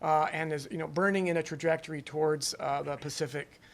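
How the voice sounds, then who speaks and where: A man giving a halting official briefing. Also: a little high, fairly even intonation. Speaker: fire official. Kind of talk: briefing remarks.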